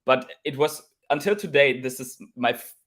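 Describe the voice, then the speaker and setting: A man's voice: mid-range voice, expressive, normal speed, a bit bright. A man hosting a casual interview chat over a video call, chatty and asking questions with frequent laughs. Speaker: host.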